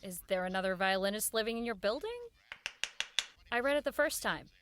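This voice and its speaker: A woman's voice: medium pitch, lively intonation, a little fast, noisy background, natural voice. An actress playing an earnest, persistent woman who runs a radio show, in audio drama dialogue and first-person narration. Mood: earnest insistence.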